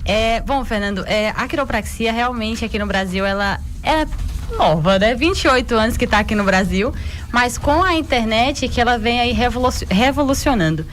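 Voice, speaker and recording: A woman's voice: on the high side, moderate pace, slight background noise. A young woman with a Bahian Brazilian accent explaining her work as a radio interview guest, earnest and talkative. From Guanambi region, Bahia, Brazil. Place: radio station studio. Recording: music behind the voice. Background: music.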